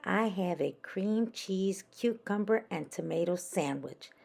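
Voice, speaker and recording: A woman voicing a contented, fussy young schoolboy animal in a children's storybook, speaking deliberately and savoring each item. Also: medium pitch, expressive, vocal fry, a little mellow.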